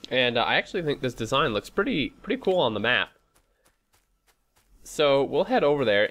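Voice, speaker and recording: A man's voice: mid-range voice, fairly bright tone. A man with a stuffy, head-cold voice giving casual gameplay commentary, thinking aloud in a hesitant, rambling way. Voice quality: stuffy, congested nose. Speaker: host of a gaming playthrough series.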